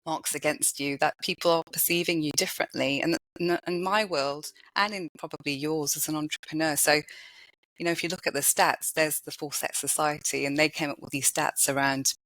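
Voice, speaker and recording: A woman's voice: fairly even intonation, fairly quick, fairly bright tone, no room sound, rough recording. A woman talking as a podcast guest, thoughtful and full of small restarts and "you know"s. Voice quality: high-pitched voice. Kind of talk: casual interview conversation.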